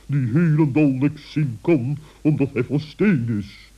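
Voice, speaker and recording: A man playing a stern, bossy old owl in a children's radio play, pompous and reproving with drawn-out emphasis. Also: mid-range voice, breathy edge. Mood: suspicious, probing. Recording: dull vintage radio recording.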